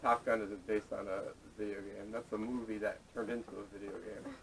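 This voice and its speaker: A man co-hosting a casual movie chat in a halting way, often restarting his questions, recorded on poor camera audio. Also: lowish voice, level tone, gravelly, fairly bright tone, distant mic, slight background noise. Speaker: podcast co-host. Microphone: camera microphone at a distance.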